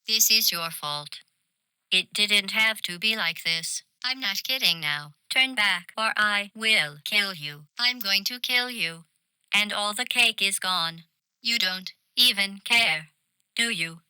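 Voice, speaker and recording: A woman voicing a passive-aggressive female computer intelligence for a video game, deadpan and mock-polite, with synthetic robotic processing. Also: mid-range voice, slow, smooth, dry recording, full character voice, low-quality recording. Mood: calm menace. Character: menacing passive-aggressive female AI running a test facility. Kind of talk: scripted video game character dialogue.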